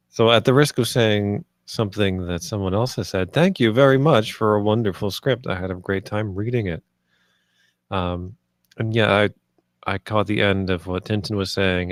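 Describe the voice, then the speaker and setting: A man with a fairly low voice speaks in a very dry acoustic. He gives casual critique, halting now and then to search for words. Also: dry recording. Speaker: table read participant giving script feedback.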